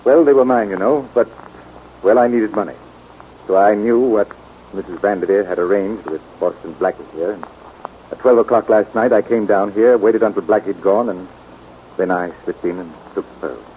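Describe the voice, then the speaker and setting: Actor as anxious older American gentleman, hesitant, lowish voice, old radio drama, dull noisy recording. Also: natural voice. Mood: hesitant, confessing. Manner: hesitant, halting. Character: meek, anxious older stepfather making a false confession. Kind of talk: 1940s radio acting.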